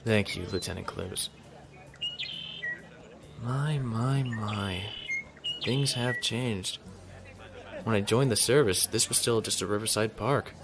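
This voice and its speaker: Casting: dutiful young space-navy lieutenant, courteous, on the lower side, for audio drama. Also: male voice, slow pace, long pauses, crowd noise. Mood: polite, wistful. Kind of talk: audio drama acting, log narration.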